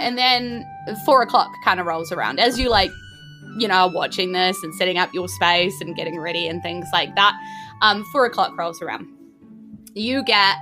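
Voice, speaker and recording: A woman with a New Zealand accent improvising narration as a tabletop game master, informal and often groping for words. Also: lowish voice.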